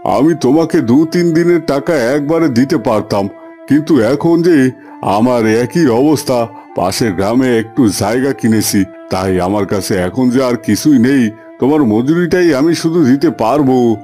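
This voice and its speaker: A man voicing a kindly, fair landowner and employer with measured authority in a fairy-tale cartoon. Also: mid-range voice, dark tone, close to an everyday voice. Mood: obliging, apologetic. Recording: music under the voice.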